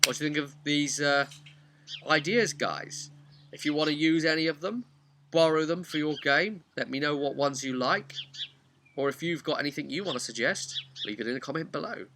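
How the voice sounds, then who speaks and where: Bright, forceful male vlog host. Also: on the high side, moderate pace, slight background noise. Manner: conversational, emphatic, acts out imagined players' remarks. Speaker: host of a tabletop role-playing video series. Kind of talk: casual explanatory vlog talk addressed to viewers.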